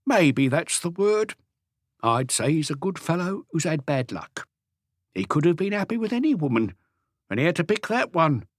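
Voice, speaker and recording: Old working-class painter, raised pitch, swooping intonation, wry, evasive, colloquial, storybook character. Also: male voice, big pitch swings, moderate pace, no room sound, clean studio sound. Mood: knowing, skeptical. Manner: colloquial, evasive, answers questions with questions. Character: cagey old Parisian workman, stocky house painter, wry.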